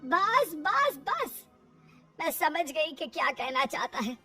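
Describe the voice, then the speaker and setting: A firm, concerned middle-aged mother put on by a woman storyteller in a cartoon family tale. Her voice is high and she chides and reasons, with music behind. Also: sing-song, takes it slowly, pushed and bright, exaggerated voice. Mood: hurt, firm. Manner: chiding, reasoning.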